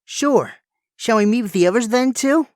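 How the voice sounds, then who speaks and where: A man's voice: extremely high pitch, animated, moderate pace, no echo, clean studio sound. A man playing an awkward, earnest, brainy young superhero in an audio drama, speaking hesitantly. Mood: awkward, flustered.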